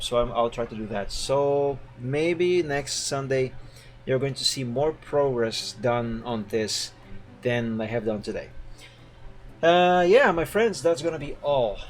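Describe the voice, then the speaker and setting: Man, rambling casual streamer, middle pitch, faint music behind. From Brazil. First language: Portuguese. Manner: rambling and chatty, frequent fillers and self-corrections. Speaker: livestreamer drawing live art. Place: at home.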